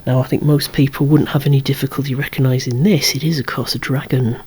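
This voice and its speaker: A person whispering an ASMR show-and-tell of a collection in a hushed, breathy, unhurried way, musing aloud with wry asides. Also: mid-range voice, steady tone. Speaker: toy collector presenting a collection.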